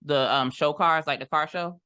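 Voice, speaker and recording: A man's voice: a little high, steady tone, normal speed, sharp tone, clear, resonant tone. A man co-hosting a podcast, rambling in casual chat and laughing a lot. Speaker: podcast co-host.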